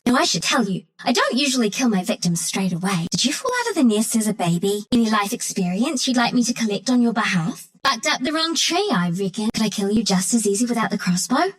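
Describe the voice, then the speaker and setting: A woman's voice: medium pitch, lively intonation, a little fast, hardly any pauses, lightly acted. A woman voicing a cocky, mischievous little furry forest sharpshooter for a video game, with an Australian accent and a cheeky, breezy, slangy delivery. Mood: cocky taunting, then cheeky gloating. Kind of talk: video game character voice lines.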